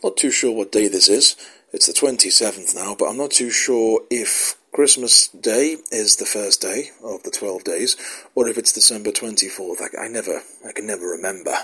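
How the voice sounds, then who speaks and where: A man talks casually as a show host, wandering from point to point with plenty of ums and restarts. His voice is hoarse from a cold, sitting low and sounding rough and breathy. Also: moderate pace, pushed and bright, poor audio. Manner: meandering, self-deprecating, many fillers and restarts. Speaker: podcast host. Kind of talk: casual rambling monologue.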